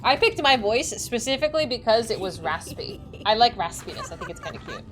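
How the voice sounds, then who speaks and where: Chatty young woman streamer, lively. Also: fairly quick. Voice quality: slightly squeaky. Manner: excitable, chatty, laughing often. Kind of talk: casual chat while playing a game.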